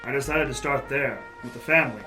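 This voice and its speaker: A man playing a hardboiled private investigator in a noir audio drama, with a wry, measured delivery. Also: medium pitch, lightly acted, low-quality recording. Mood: wry narrating. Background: music under the voice.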